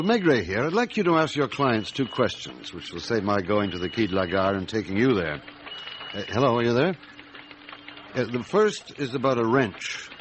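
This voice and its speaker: Veteran detective for radio play, male actor: deep, gruff, methodical, dryly commanding; noisy backdrop. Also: close to an everyday voice. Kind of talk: radio drama acting with narration.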